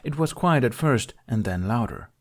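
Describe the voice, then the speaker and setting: A man's voice: medium pitch, expressive, normal speed. A man reading scripted fiction as an audiobook narrator.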